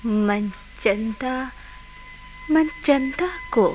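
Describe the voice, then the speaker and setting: An actress playing a mocking, bitter, unhinged woman in a Hindi radio play, speaking in a theatrical, taunting, halting way. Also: fairly high pitch, darkish tone, faint music behind, low-quality recording. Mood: eerie, bitter.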